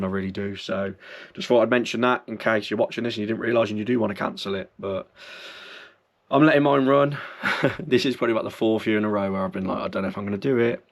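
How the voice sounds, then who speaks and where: A man chatting casually through football club news, slightly croaky and under the weather, rambling and correcting himself as he goes. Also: fairly low pitch. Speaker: football fan channel host. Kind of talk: casual fan news chat.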